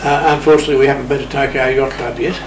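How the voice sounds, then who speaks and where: A man's voice: mid-range voice, fairly even intonation, racing. Man in a noisy, echoey room. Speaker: radio club interview guest. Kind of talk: anecdotal, informal talk. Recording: echoey room, distant mic, clear noise.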